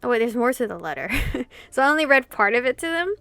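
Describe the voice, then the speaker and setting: A woman's voice: on the high side, sing-song, fast, a bit bright, dry recording. A woman gamer reading a visual novel aloud expressively, in the style of a casual playthrough.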